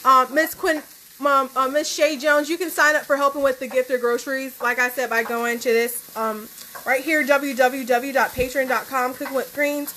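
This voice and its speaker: A young woman with a high, bright voice reads viewer greetings one after another. She has a friendly, steady delivery, and faint noise sits behind her voice. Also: normal speed. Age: young adult. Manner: friendly, steady list-reading. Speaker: co-host reading viewer comments. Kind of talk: reading out live chat greetings. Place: home kitchen, indoors.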